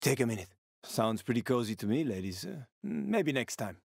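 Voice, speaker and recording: A hardened male video game survivor with a medium-pitched voice. He sounds worn by the world, sardonic and streetwise, with menace never far off. The delivery is dramatic and the sound is close and dry, free of room. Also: sing-song, normal speed, vocal fry, a little mellow, no echo. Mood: guarded, reassuring. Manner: gritty, sardonic, foul-mouthed.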